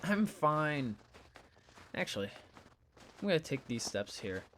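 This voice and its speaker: Woman playing stubborn skeptical young friend, low voice, lively swings in intonation, dry, flippant, audio drama. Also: takes it slowly, slight background noise, full character voice. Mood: dry, casual. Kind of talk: naturalistic audio drama acting.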